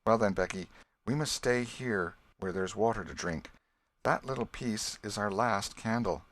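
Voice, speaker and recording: A man reading an audiobook, voicing a brave, tender young boy in a gentle, reassuring, earnest way. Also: fairly low pitch, unhurried, no echo. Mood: grave. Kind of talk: audiobook character voice.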